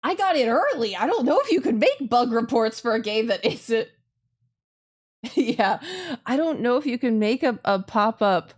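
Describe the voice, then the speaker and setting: A woman's voice: fairly high pitch, big pitch swings, normal speed. A woman streaming a game with casual, exclamatory live commentary, often repeating her phrases. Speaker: streamer playing a visual novel. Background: game music.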